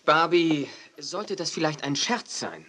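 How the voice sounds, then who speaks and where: A man playing a calm, fatherly police officer in a children's radio play, jovial and patronizing. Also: a little high, measured pace. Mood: advising. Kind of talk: 1980s German children's radio play acting. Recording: noisy old cassette radio play.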